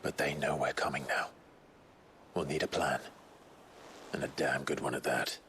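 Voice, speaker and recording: An actor playing a gruff, resolute, guarded fantasy hero in a video game cutscene, terse and measured. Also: male voice, extremely low pitch, fairly even intonation, a bit bright, a little hiss or hum. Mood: grim resolve.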